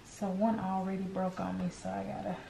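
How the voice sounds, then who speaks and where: Woman, co-host, playful chatter, echoey home kitchen, clear background noise. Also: medium pitch, monotone, fairly quick. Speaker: vlog co-host. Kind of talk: casual cooking vlog chat.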